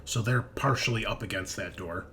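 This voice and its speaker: A man narrating a tabletop horror game as game master, improvising in halting, suspenseful phrases. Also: on the low side, fairly bright tone, slight background noise.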